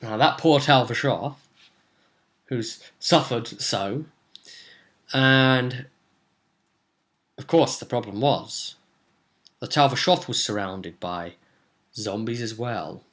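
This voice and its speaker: A man in his twenties with a bright, forceful voice talks casually to camera as a game master. He rambles excitably through a story and slips into players' lines. He sounds like he is at a desk at home. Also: medium pitch, slow pace, pauses often. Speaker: game master recapping a tabletop role-play session. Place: small room at home.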